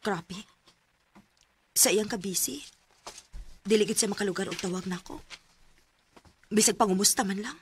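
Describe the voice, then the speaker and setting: A woman's voice: medium pitch, a little slow, long pauses, slight background noise, close to an everyday voice. An actress with a Cebuano accent playing a troubled, guilt-ridden married woman in an emotional, melodramatic radio drama. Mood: brooding, weary.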